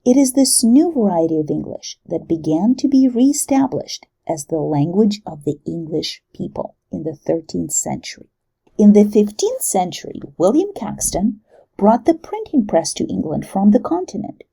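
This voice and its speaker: Woman reading a lecture, husky and kinda dark, pitch jumps around a lot. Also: sing-song, moderate pace. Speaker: university lecturer. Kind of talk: recorded lecture narration.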